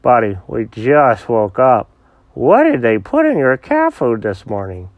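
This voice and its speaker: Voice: medium pitch, huge pitch swings, unhurried, dry recording, phone-quality sound. A storyteller voicing a patient, sensible male animal companion in a soothing but exasperated manner. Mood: bemused. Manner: calls the other buddy, soothing and exasperated.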